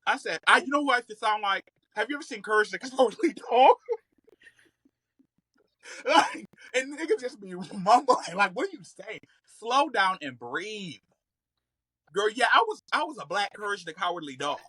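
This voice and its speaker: A man's voice: sits high, sing-song, normal speed, bright, forceful tone, slightly rough, dry recording, low-quality recording. A man in his thirties chatting casually as a podcast guest, telling stories in a sassy, slangy, emphatic and comedic way. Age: 30s. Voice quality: occasional slight stutter. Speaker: podcast guest, content creator. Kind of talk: casual, animated storytelling.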